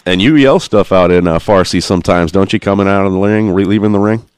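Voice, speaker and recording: A male podcast host talks in a relaxed, chatty way, swearing casually. His voice sits very low and sounds smooth and resonant. The recording is dry and close. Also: fast, no echo.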